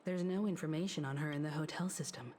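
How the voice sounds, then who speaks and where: A woman plays a shrewd game investigator who reasons through clues in a measured, analytical way. Her voice is low for a woman. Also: darkish tone, slight background noise, close to an everyday voice. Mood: calm.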